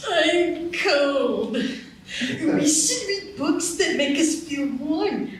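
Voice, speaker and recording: A woman's voice: sits high, animated, drawn-out words, heavy reverb, far from the mic, slight background noise, heavily acted. A woman playing a grandiose, long-winded dodo in a live radio play.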